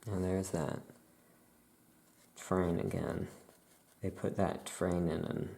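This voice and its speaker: A soft-spoken ASMR performer talking as an easygoing, chatty witch shopkeeper, rambling and trailing off in an unhurried way. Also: fairly even intonation. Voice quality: soft-spoken, low creaky voice. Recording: roomy echo, faint background noise.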